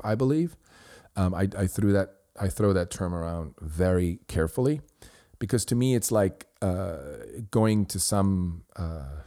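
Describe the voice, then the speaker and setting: A man's voice: deep voice, mellow. A middle-aged man from Switzerland, a native Swiss German speaker, hosting a podcast and earnestly answering a listener's review, rambling with restarts. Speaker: podcast host.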